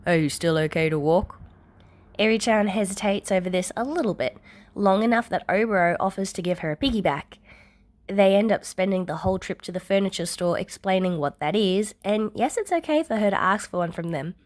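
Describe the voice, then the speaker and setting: A woman's voice: mid-range voice, fairly quick. A woman reading scripted fiction aloud as a narrator. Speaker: podfic narrator.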